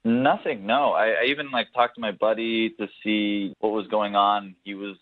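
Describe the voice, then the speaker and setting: Low-voiced man on a phone line. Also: fairly even intonation, normal speed, dry recording. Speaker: radio show caller. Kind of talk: casual conversational storytelling. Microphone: telephone. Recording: thin, narrow phone line.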